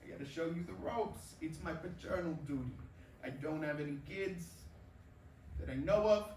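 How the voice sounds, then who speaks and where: Guy playing a cocky crude older mentor type in a play, far from the mic with clear noise. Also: on the high side, normal speed, darkish tone, close to an everyday voice. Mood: cocky, paternal. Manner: swaggering, crude. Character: brash older male baseball big shot, crude paternal mentor. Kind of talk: staged play reading. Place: performance room, recorded from a distance. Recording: roomy, distant mic, clear background noise.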